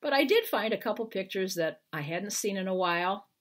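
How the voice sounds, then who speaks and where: An older woman with an American accent speaks in an expressive storytelling style. Her medium-pitched voice rises and falls widely, and she takes her time with phrases. She is recorded on a webcam in a room at home. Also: brisk. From Iowa, United States. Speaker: children's book author. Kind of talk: picture book read-aloud and informal talk to viewers. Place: living room at home, indoors. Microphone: webcam at arm's length.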